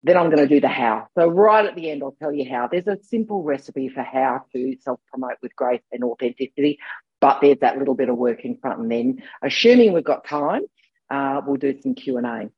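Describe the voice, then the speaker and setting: An Australian woman in her sixties with a husky voice, hoarse from a cold, presenting a chatty online masterclass with rambling restarts over a video call. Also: extremely low pitch, expressive, fairly quick, no echo, lo-fi sound. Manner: warm, rambling, with frequent restarts.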